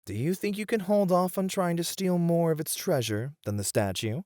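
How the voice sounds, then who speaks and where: A man's voice: a little high, moderate pace, a little mellow, no room sound, studio quality, natural voice. A young man playing a confident, snarky warrior in a fantasy roleplay audio drama, reasoning and teasing a rival dryly. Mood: persuasive, teasing.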